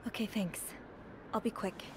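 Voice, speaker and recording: An actress playing a nosy young hotel maid in a narrative game, polite and a little hesitant. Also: female voice, moderate pace, noisy background, lightly acted. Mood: focused. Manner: hesitant, polite, stammers when flustered.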